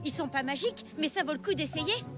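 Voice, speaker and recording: A very high, boyish cartoon voice. The young hero is earnest, stubborn and quick to get excited. Music runs prominently behind the lines. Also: female voice. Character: plucky young prehistoric boy with a dinosaur friend. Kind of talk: French animated children's cartoon dub.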